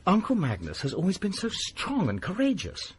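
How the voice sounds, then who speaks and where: A young actor playing an earnest, anxious nephew in a radio drama, urgent and sometimes sharp. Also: male voice, high voice, huge pitch swings, moderate pace, a little hiss or hum, heavily acted. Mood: concerned.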